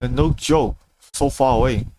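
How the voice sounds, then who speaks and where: A man's voice: medium pitch, normal speed, noisy background. A man livestreaming a game, chatting casually and muttering to himself as he plays. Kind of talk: casual livestream chat over gameplay. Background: game music.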